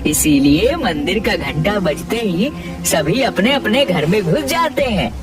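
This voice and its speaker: A woman voicing a solemn village elder in an animated folk tale. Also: medium pitch, measured pace, distant mic, over loud music, lightly acted. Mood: grave. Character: solemn village elder telling of a haunted temple.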